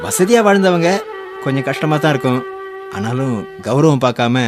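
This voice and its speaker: An actor playing a kind, humble villager reassuring his in-laws in a warm manner in a Tamil radio drama. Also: mid-range voice, lively intonation, normal speed, over music, natural voice. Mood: kind, encouraging. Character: kind, humble village younger brother-in-law offering shelter.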